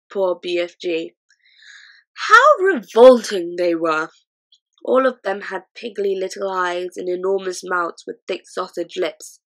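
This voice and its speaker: A woman narrating a children's story aloud in an expressive, steady way. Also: lowish voice, poor audio. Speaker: audiobook reader.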